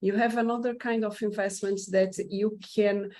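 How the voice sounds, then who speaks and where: A woman's voice: medium pitch, steady tone, normal speed, dark tone. A woman with a Portuguese accent and Portuguese as her first language, explaining investment options hesitantly with many fillers. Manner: hesitant, many uh fillers, non-native phrasing. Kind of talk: informational talk.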